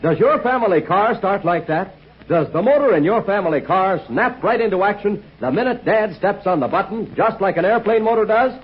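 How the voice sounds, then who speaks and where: A man announcing a 1940s radio commercial in a chummy, persuasive sales pitch. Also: expressive, fairly quick, breathy edge. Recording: dull old 1940s radio recording.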